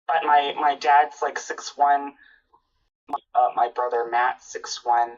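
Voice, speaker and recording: A woman's voice: a bass voice, fairly even intonation, slow pace, recorded from a distance, highs cut off. A woman from Minnesota with a Minnesotan accent chatting casually, repeating and stammering as she talks. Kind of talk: casual chat.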